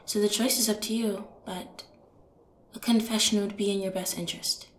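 A stern female police detective acted by a woman speaks in a roomy, echoing space. Her mid-pitched voice is cold and deliberate, full of contempt, as in an interrogation scene from an audio drama. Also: fairly even intonation, close to an everyday voice. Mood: restrained, pressing. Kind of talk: audio roleplay acting. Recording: roomy echo on the voice.

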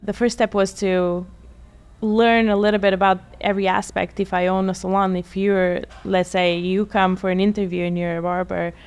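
A woman's voice: medium pitch, level tone, normal speed, a little mellow, clear, resonant tone. Chatty woman from Bulgaria. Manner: chatty, laughs often, acts out quoted people. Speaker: guest, lash technician. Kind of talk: casual interview storytelling.

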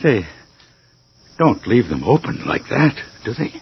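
A man acting in a radio horror drama, playing a wary, reluctant man in a graveyard, with a husky voice. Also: mid-range voice, very animated, unhurried, slight background noise. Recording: muffled old radio drama recording.

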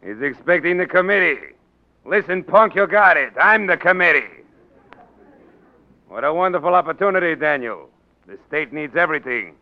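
A dull vintage radio recording of a male actor as a tough, crooked American political boss. His high voice swings widely in pitch as he sneers and blusters like a gangster in a 1940s drama. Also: expressive, moderate pace, pauses often, lightly acted. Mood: sly, sarcastic.

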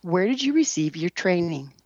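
Low, animated woman hosting radio. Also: moderate pace, no echo, muffled recording. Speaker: radio show host. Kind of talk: scripted introduction and interview.

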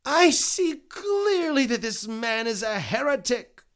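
High-pitched, spiteful juryman acted by a man. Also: very animated, takes it slowly, fairly bright tone, full character voice. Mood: spiteful outrage. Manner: exclaiming, sneering, indignant. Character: spiteful, bloodthirsty male jurymen baying for execution. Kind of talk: dramatized allegory narration.

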